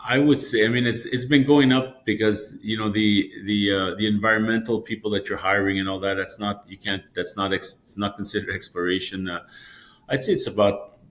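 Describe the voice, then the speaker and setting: Halting, low-voiced company executive man. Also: level tone, fairly bright tone. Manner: halting, many repeated words and fillers. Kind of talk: investor interview answers.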